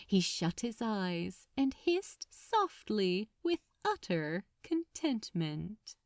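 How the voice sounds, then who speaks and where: A woman reading a story aloud as a dramatic narrator, expressive and unhurried, lingering on her phrases. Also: medium pitch, no echo, clean studio sound. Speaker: audiobook-style narrator of fan fiction.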